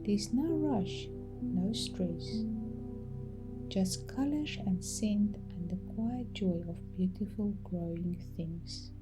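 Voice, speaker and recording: A woman storyteller narrating a bedtime meditation story in a soft, gentle, calming and unhurried way, with pauses. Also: mid-range voice. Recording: music behind the voice.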